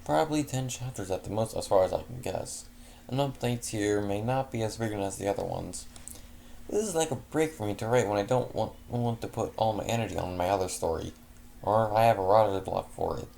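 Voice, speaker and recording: A man reading a fanfiction story aloud in a halting way, restarting words, then chatting casually to listeners. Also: fairly low pitch, creaky, a little mellow, a little hiss or hum. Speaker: fanfiction reader.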